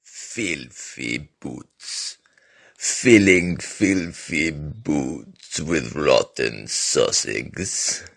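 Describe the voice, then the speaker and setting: Very deep gravelly gloomy mutterer. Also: male voice, lively intonation, drawn-out words, fairly bright tone, dry recording, clean studio sound, full character voice. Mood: gloomy, bitter. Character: bleak, gloomy, crude man muttering nihilism.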